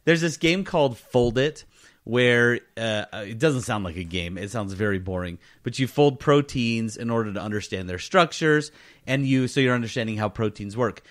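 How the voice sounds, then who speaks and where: He is a man with a medium-pitched voice, chatting as a podcast co-host. The talk is casual and joking, often delivered as if in earnest. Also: expressive, moderate pace, fairly bright tone. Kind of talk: casual comic banter on a gaming podcast.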